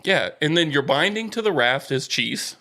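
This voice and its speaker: A man's voice: fairly high pitch, lively intonation, moderate pace, bright, forceful tone. A man co-hosting a podcast, chatting casually and teasing his partner in a wry way. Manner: teasing, wry, laughs easily. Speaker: podcast co-host. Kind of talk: casual sports and food chat.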